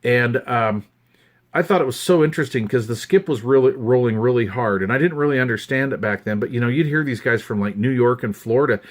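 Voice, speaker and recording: Male, 50s, Western American accent, mid-pitched, casual rambling hobbyist show-and-tell. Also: fairly quick. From United States, Utah. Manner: rambling, conversational. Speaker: hobbyist video host. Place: indoors at a wooden desk.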